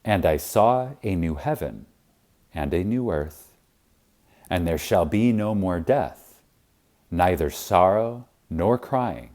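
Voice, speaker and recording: Deep, slow, mellow man reading reverently. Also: expressive. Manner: reverent, emphatic, dramatic pauses. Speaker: audiobook narrator. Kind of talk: scripted audiobook reading of spiritual teaching.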